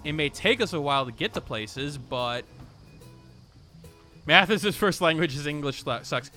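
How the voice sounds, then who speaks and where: A man's voice: fairly high pitch, expressive, measured pace, bright, forceful tone, soft background music. A man giving casual, off-the-cuff gameplay commentary, rambling and interrupting himself as he thinks aloud. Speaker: gaming video host. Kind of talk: casual off-the-cuff let's play commentary, reading game text aloud.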